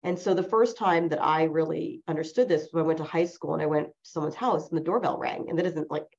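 Low, gravelly woman lawyer, lo-fi. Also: fast talker, darkish tone. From New Jersey, USA. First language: Chinese. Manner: reflective, rambling, with many self-corrections. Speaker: lawyer, guest. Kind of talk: personal storytelling in an interview. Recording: dull lo-fi recording.

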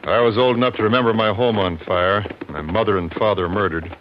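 An actor playing a grim, determined young avenger, terse and serious, in a muffled 1950s radio western. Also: male voice, on the low side, brisk, close to an everyday voice. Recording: muffled vintage radio recording, faint noise.

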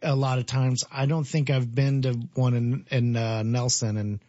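A man with a mid-range voice chats on a morning radio show. He is agreeable, jumps in often and works through ideas out loud. His mic sounds dry and a little dull. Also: mid-range voice, level tone, no room sound. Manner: agreeable, chimes in often, works through quick math aloud. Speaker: radio co-host. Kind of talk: casual local radio talk.

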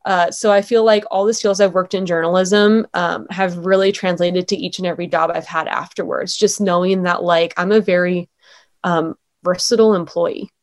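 A woman's voice: lowish voice, fairly even intonation, moderate pace. Chatty young woman, upbeat and casual. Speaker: guest, former sports anchor. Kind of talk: casual interview chat.

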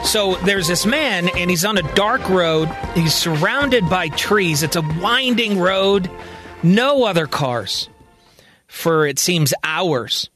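A man narrating a science-fiction story in a calm, conversational storytelling manner. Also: high-pitched, takes it slowly, over music. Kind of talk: spoken sci-fi story narration.

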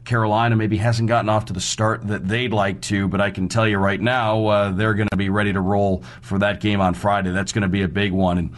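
Guy hosting sports radio, kinda low voice, chatty previews and plugs. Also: flat delivery, fairly quick, few pauses. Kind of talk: upbeat scripted-sounding sports radio preview and promos.

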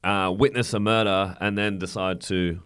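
A man's voice: sits low, steady tone, normal speed, a bit bright, clean tone, dry recording. A man co-hosting a casual podcast chat, dry and wry, with frequent ums. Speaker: podcast co-host.

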